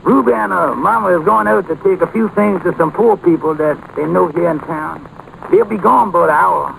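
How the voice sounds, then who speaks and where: An actor playing a friendly, humble family man in 1940s radio comedy, folksy and gravelly. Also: high-pitched, normal speed, a bit bright, heavily acted. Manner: folksy, colloquial. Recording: noisy 1940s radio broadcast recording.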